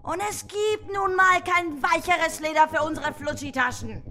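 A woman's voice: very high voice, normal speed, bright, forceful tone. A woman playing a ruthless, pompous business boss, the haughty and scolding villain of a German children's radio drama. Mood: haughty, irritated.

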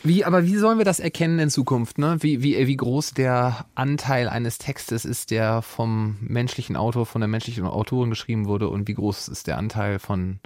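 A man's voice: mid-range voice, normal speed, few pauses, a little mellow, no echo. A man hosting an interview podcast, asking questions hesitantly with restarts and repeated words. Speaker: podcast host. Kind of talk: conversational interview.

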